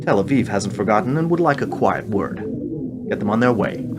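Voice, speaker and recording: An actor playing a curt, cold official in a radio play, dictating terms and giving orders. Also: male voice, on the low side, animated, brisk, over loud music, lightly acted. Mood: cold, commanding.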